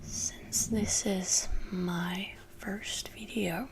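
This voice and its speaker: A woman's voice: medium pitch, steady tone, drawn-out words. A woman in her twenties from France, whispering a rambling, self-deprecating chat for ASMR, into a desktop microphone in a lamp-lit room at home. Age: 20s. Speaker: ASMR creator. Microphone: desktop USB microphone.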